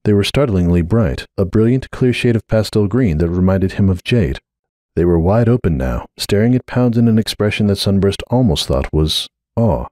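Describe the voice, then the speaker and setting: Male storyteller, fairly low register, dry studio sound, expressive scripted narration for fiction. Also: normal speed, dry recording.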